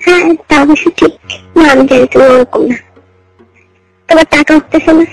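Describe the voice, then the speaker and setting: A woman's voice: high-pitched, brisk, vocal fry, no echo. A young woman with a Bangladeshi Bengali accent chatting casually and intimately on a recorded phone call. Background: music.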